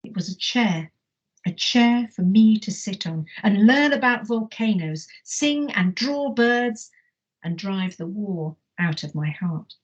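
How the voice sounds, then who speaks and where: A woman's voice: medium pitch, lively intonation, slow, breathy edge, muffled recording. Woman reading a picture book to children. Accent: English. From Wales. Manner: gentle storyteller, asks children questions. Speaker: teacher. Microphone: live online lesson mic.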